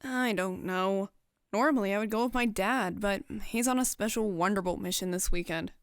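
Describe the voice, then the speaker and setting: A woman's voice: mid-range voice, normal speed, no echo, clean studio sound, natural voice. A woman voicing a reserved, doubtful young female pegasus in an audio drama, casual and a little downbeat. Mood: resigned.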